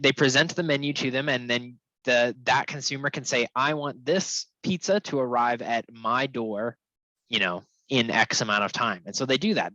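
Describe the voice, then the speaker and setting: A man's voice: mid-range voice, fairly bright tone, no room sound. A man presenting technical material in an informal, conversational webinar style, often checking in as he explains. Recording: dull, lo-fi, dry close sound.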